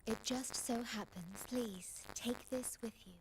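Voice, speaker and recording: A woman playing a calm, formal female guardian in a video game, measured and soft-spoken. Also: fairly high pitch, background noise, lightly acted. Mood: composed, polite. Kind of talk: video game story dialogue.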